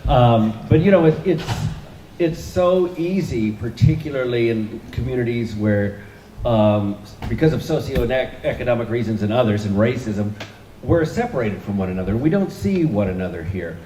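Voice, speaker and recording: A man's voice: mid-range voice, animated, normal speed, mellow, reverberant, a little hiss or hum, rough recording. A man, an author and guest from Northern California, talking onstage in an easy, joking way with many fillers. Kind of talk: onstage conversation. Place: event hall with an audience.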